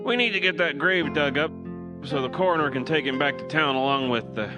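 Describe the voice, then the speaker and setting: An actor playing a brusque, suspicious small-town police chief in a radio drama, commanding and questioning. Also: male voice, on the high side, brisk, lightly acted. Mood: suspicious, firm. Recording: prominent music behind the voice.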